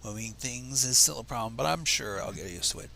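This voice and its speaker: A man's voice: medium pitch, animated, a little fast, creaky, pushed and bright, pitched below the performer's own voice, full character voice. A man voicing a laid-back young male pony with a casual, slangy dude drawl in a story reading. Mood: laid-back, friendly.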